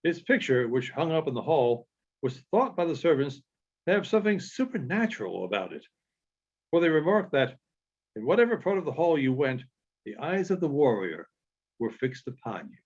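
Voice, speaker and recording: A man reading literary narration aloud as an audiobook reader, in a measured storytelling manner with halting phrasing. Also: fairly high pitch, sing-song, darkish tone, breathy edge, highs cut off.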